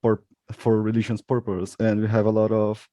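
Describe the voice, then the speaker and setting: A man's voice: fairly low pitch, completely flat delivery, moderate pace, mellow, no echo. A Brazilian man, a native Portuguese speaker, asking a question in an online seminar, hesitant and searching for words. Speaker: seminar participant.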